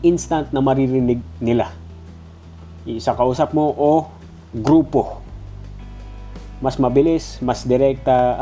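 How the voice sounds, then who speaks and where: Filipino male reviewer, mid-pitched but on the mellow side, conversational, congested nose, faint music underneath. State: stuffy nose. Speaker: product reviewer. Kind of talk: casual, conversational gadget review.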